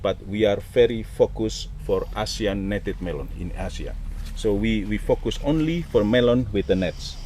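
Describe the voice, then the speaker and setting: An Indonesian man with a deep voice, the halting specialist introducing his work on a noisy farm tour. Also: a little mellow. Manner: halting, pauses often. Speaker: crop specialist being interviewed. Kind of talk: halting self-introduction in an interview. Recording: ambient background noise.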